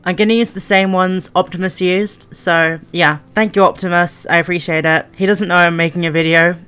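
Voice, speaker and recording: A woman's voice: lowish voice, steady tone, normal speed, fairly bright tone, clean tone. A woman YouTuber giving casual, rambling commentary in an informal way.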